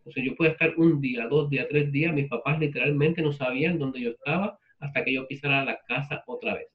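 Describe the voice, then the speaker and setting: A man's voice: mid-range voice, fast, phone-quality sound. A man with a Puerto Rican accent teaching a literature class informally, explaining things in a conversational way with rhetorical check-ins. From Puerto Rico. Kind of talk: informal class lecture with personal anecdotes.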